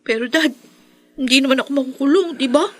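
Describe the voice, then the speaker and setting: A woman's voice: sits high, fast talker, faint music behind, close to an everyday voice. An actress playing a spoiled, anxious daughter pleading with her father in a radio drama, agitated and wheedling.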